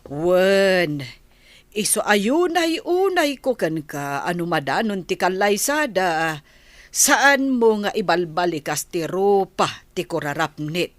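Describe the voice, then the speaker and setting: A grandmother in a village radio comedy, played by a woman, with a slightly low voice that rises and falls a lot. She talks slowly and earnestly, and the recording is very dry. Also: sing-song, dry recording. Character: older grandmother arranging a wedding. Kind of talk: radio comedy drama acting.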